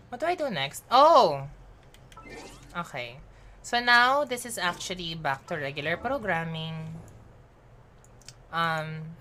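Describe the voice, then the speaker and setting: Lively Filipino woman chatting while streaming. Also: fairly low pitch, a little hiss or hum. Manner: chatty, switching between Tagalog and English mid-sentence; giggly, thinks aloud while playing. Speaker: game streamer. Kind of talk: casual live-stream game commentary.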